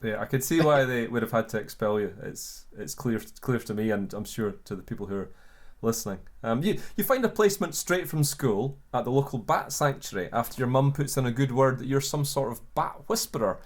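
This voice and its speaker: A man's voice: medium pitch, lively intonation, brisk. A man hosting a comedy podcast, narrating the guest's invented life in a dry, mock-documentary tone. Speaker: podcast host. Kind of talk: mock-serious interview narration.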